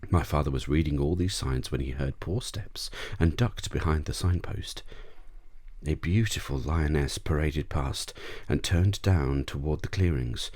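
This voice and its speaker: A man's voice: rumbling low, unhurried, a little mellow. A man narrating a bedtime story in an ASMR audiobook style.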